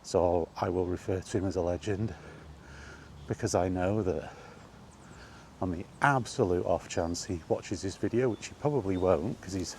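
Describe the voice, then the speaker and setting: A middle-aged man in a casual, rambling vlog chat, speaking conversationally with halting pauses while out walking. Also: low voice, a little mellow. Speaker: gaming vlogger. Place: outdoors, walking. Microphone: microphone with wind guard. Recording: windy outdoor recording, background noise.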